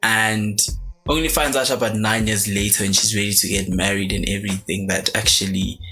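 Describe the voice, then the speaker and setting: Bright-voiced man hosting a casual chat. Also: on the low side, fairly quick, faint music behind. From South Africa. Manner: animated storytelling with many fillers. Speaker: podcast host.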